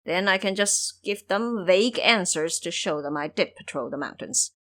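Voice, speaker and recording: Woman doing lazy pig guy from a fantasy audio drama, whiny n indignant, self-pitying, pitch all over the place. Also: expressive, moderate pace, fairly bright tone. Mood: indignant grumbling. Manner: indignant, exclamatory whining. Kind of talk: audio drama character acting.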